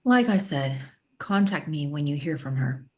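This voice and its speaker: A fairly low voice for an unbothered police detective acted by a woman. The detective is skeptical and matter-of-fact, brushing off worries in a screenplay read. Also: lively intonation, normal speed. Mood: dismissive. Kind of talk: screenplay table read acting.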